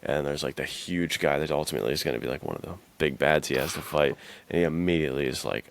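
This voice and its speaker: A man's voice: extremely low pitch, fast, creaky. A man co-hosting a podcast, talking casually and informally with plenty of slang. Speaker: podcast co-host.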